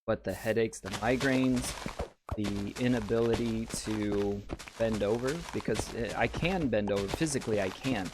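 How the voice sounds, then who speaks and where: A man with a slightly raspy voice hosting a casual gameplay video, rambling and chatting straight to his viewers. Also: on the low side, a little slow. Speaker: let's play host. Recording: steady background noise.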